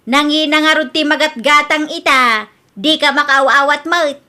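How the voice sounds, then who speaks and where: Woman acting as greedy older mother, radio comedy drama, high voice, sharp tone, blunt, insistent, nagging. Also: normal speed. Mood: demanding. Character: pushy, greedy gambling mother, older, shamelessly demanding.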